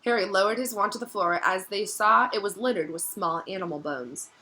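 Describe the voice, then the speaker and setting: Bright-voiced woman reading a story aloud. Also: medium pitch, brisk. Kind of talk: expressive read-aloud narration.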